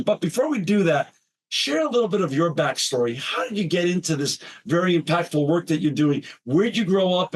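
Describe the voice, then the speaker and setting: A man hosting an interview podcast, effusive and enthusiastic as he welcomes his guest and asks questions. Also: fairly high pitch. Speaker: podcast host.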